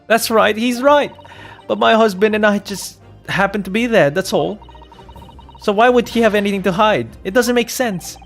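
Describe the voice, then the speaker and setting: A man acts out a flustered married woman from a courtroom game. The voice is pitched high and stammers with indignant protest. Music plays faintly behind. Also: normal speed, creaky, a bit bright. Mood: defensive.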